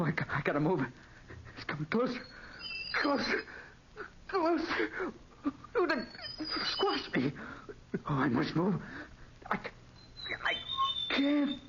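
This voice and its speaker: An actor playing a terrified, trapped young man in 1940s radio drama style, halting, breathless and melodramatic. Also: high voice, creaky, heavily acted. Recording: noisy, muffled 1940s radio recording.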